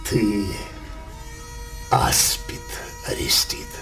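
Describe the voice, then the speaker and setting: A man's voice: very slow, fairly bright tone. An actor playing a power-hungry, wrathful old king in a theatrical radio play, declaiming in an imperious, passionate way. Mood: suspicious. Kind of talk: theatrical verse radio drama acting. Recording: music behind the voice.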